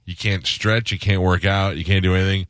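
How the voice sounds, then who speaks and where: A man's voice: deep voice, steady tone, a little fast, a bit bright, clear, resonant tone, dry recording. A man hosting a morning radio show, teasing and roasting his co-hosts in casual studio banter.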